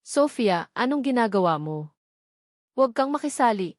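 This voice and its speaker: A woman's voice: fairly high pitch, very animated, moderate pace, no echo, clean studio sound. A woman voicing a haughty, angry rich mother in a story, stern and cutting. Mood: disdainful. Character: haughty, angry wealthy matriarch, scornful mother.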